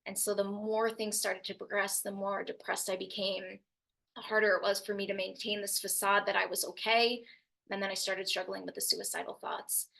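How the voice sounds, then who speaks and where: A young woman shares her own story as a youth mental health speaker, in an earnest and steady way. Her voice is bright and sharp, with a gravelly fry at the ends of phrases. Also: medium pitch, moderate pace. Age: young adult. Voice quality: loud voice. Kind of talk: personal story told to an audience.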